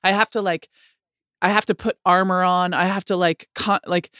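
A woman with a lower voice than most women, speaking candidly in a conversation with many likes and restarts. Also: fairly even intonation, normal speed, a bit bright, smooth, no echo, studio quality. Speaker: guest sharing her experience.

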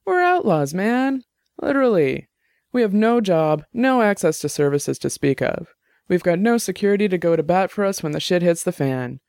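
A man putting on a loud, combative tipsy woman. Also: on the low side, sing-song, normal speed, no echo, studio quality, pitched above the performer's own voice. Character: argumentative tipsy young woman musician.

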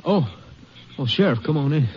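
An actor playing a remorseful, weak-willed gambler in a 1950s radio drama, speaking hesitantly and ruefully. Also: male voice, fairly high pitch, animated, moderate pace, noisy background, natural voice. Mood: sheepish. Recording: dull vintage 1950s radio recording.

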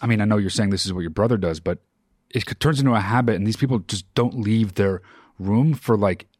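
A man's voice: fairly low pitch, a little fast, a little mellow, no room sound. A man in his forties co-hosting a casual podcast, dry and sarcastic. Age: 40s. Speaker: podcast co-host. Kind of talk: casual chat.

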